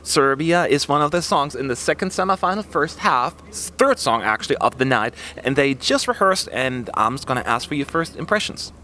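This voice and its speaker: A man's voice: on the high side, animated, fairly quick, few pauses, bright, forceful tone. A man hosting a casual, chatty on-camera discussion for a reaction channel. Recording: clearly noisy background ambience.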